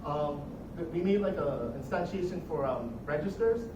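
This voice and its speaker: High-voiced young man in a noisy echoey room. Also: lively intonation, moderate pace, distant mic. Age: young adult. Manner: casual, rambling, jokey. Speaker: student presenter. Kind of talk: informal project demo presentation.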